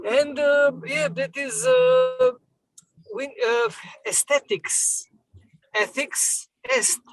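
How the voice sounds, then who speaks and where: A panelist talking reflectively in a halting way, with many fillers, over a video call. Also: mid-range voice, no room sound, rough recording. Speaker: roundtable panelist. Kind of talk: reflective discussion.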